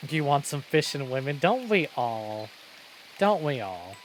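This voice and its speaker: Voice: mid-range voice, big pitch swings, a little slow, slight background noise, rough recording. A streamer giving live game commentary and reading dialogue aloud. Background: game music.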